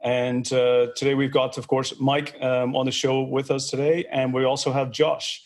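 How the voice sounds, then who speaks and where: Man, podcast co-host, casual talk, rambling, lots of fillers, mid-pitched voice. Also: flat delivery, moderate pace, a little mellow. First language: English. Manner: rambling, filler-heavy, friendly.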